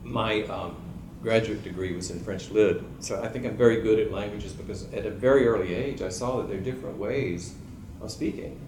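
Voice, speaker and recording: Musing male panelist with a lowish voice, heard in a roomy hall over steady background noise. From Georgia, USA. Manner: unhurried, musing, many ums and uhs. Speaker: panelist writer. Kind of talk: reflective panel talk. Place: event room in a library. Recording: echoey room with background noise.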